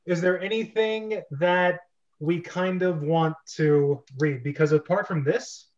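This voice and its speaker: A man's voice: high voice, takes it slowly. A man hosting a script reading club, chatting casually with the group. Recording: dull, lo-fi online recording.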